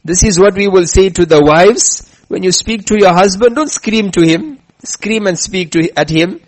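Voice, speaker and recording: High-voiced man preaching emphatically. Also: expressive, rough recording. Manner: emphatic, slows down to stress points; tells stories in a storytelling way. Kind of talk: religious lecture with chanted scripture.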